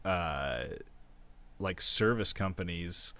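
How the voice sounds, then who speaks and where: Easygoing low-voiced man. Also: slow pace, bright, forceful tone. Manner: easygoing, encouraging, swears casually. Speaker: podcast co-host. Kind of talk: casual chat.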